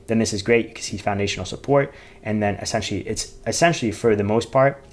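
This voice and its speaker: A man giving informal, unscripted finance commentary, reading out figures in a halting, repetitive way and often correcting himself. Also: lowish voice. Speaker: finance YouTuber reviewing company earnings.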